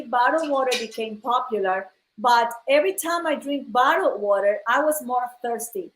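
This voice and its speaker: A woman from Ecuador tells a personal story on a live stream, earnest and direct with her viewers. Her voice sits a little high. The room around her rings with echo. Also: fairly bright tone. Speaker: host. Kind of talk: casual live-stream chat. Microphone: phone live stream.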